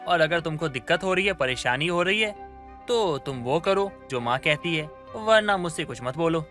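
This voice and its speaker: Man playing young husband, irritated, bright forceful tone, fairly high for a man, cartoon story. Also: lively intonation, normal speed. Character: irritated young husband siding with his mother. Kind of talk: Hindi moral story cartoon voice acting. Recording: music under the voice.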